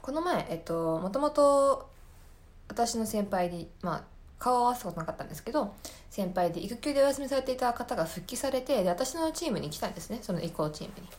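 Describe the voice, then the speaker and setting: A woman's voice: on the high side, very animated, brisk. A woman hosting a podcast, talking casually about her own life in a hesitant, meandering, self-deprecating way. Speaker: podcast host.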